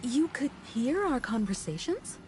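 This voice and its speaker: Caring anime game crew leader, higher voice, lilting, polite, gentle, music behind. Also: female voice, very animated, a little slow. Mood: surprised.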